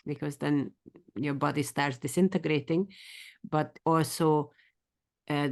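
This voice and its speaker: A woman's voice: low-pitched, creaky. Eager Hungarian woman teaching a class. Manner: quick and eager, sometimes runs fast. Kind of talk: scripture study class.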